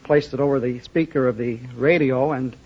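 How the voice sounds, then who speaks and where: A man answering as a quiz show contestant on old radio, in a halting, earnest, polite way. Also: medium pitch, steady tone. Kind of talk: unscripted quiz show interview. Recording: muffled, hissy old radio recording.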